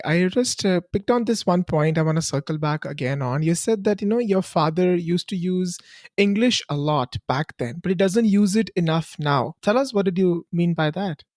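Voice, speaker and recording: A woman's voice: fairly low pitch, darkish tone, dry recording, studio quality. A woman hosting a casual podcast interview, attentive and encouraging, often affirming her guest. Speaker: podcast host.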